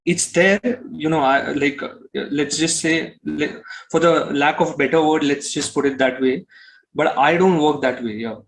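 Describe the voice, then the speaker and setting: A man's voice: fairly high pitch, fairly even intonation, normal speed, rough recording. A man from Kolkata with an Indian accent, answering interview questions casually, often circling back over his phrasing with "you know". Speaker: musician interview guest.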